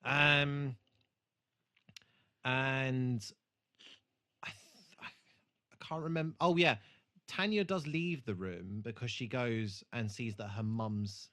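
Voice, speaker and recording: A man co-hosting a casual TV rewatch podcast, halting as he thinks aloud, with some swearing. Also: mid-range voice, pushed and bright. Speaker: podcast co-host.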